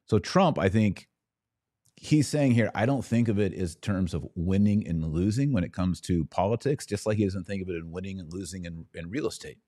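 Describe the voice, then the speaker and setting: Deep-voiced man giving podcast commentary. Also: moderate pace, warm tone. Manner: explanatory, emphatic. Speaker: podcast host. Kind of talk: informal political commentary reacting to clips.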